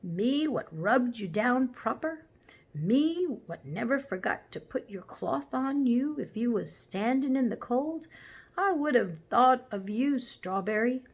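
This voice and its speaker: A woman's voice: a little high, lively intonation, a little slow, pitched above the performer's own voice. A woman voicing a good-natured, earnest London cabby with a Cockney accent in a storybook reading. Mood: hurt, indignant.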